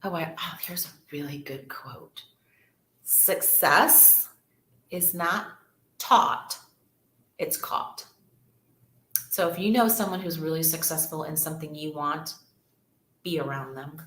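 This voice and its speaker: A woman's voice: sits low, takes it slowly, long pauses, fairly bright tone, reverberant. A woman, a real estate agent chatting casually and upbeat to livestream viewers, repeating words for emphasis.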